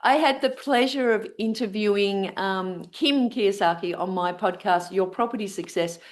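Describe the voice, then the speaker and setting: A woman's voice: mid-range voice, moderate pace. A woman from Australia with an Australian accent hosting an interview, effusive and full of fillers and restarts. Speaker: podcast host.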